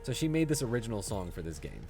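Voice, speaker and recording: A man's voice: fairly low pitch, sing-song, fast talker, vocal fry, a little mellow. A man casually streaming a game, easygoing and chuckling often, chatting and reading viewer comments aloud. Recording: music playing behind the voice.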